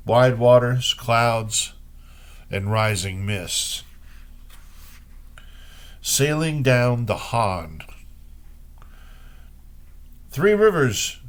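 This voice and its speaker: A man reading poems aloud as host, in an unhurried way with pauses between short phrases. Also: mid-range voice. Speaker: poetry reader and host. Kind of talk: poetry reading with brief spoken commentary.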